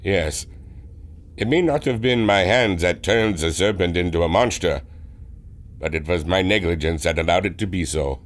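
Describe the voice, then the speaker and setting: An actor playing a modest, earnest German engineer with a German accent, speaking formally and a little hesitantly in a radio drama. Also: male voice, deep voice, normal speed, lightly acted. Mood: remorseful. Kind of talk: radio adventure drama acting.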